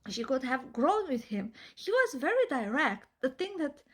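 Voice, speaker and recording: A woman's voice: high voice, sing-song, normal speed, slightly rough. A young woman from Eastern Europe with an Eastern European accent, giving emphatic, opinionated commentary full of rhetorical questions. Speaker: vlogger.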